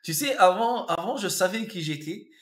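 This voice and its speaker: A man in his fifties from Tunisia with a Tunisian accent, a comedian and actor telling his life story in a relaxed interview, thoughtful, with frequent restarts and fillers. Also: high-pitched, moderate pace. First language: Arabic. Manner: reflective, repeats and restarts words, many fillers.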